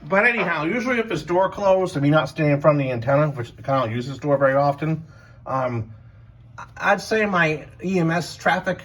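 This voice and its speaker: Rambling man with a slightly higher-pitched voice. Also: animated, brisk, a bit bright. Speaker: emergency medical worker and radio hobbyist. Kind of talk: casual equipment walkthrough vlog. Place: indoors in a house. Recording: home recording with faint background noise.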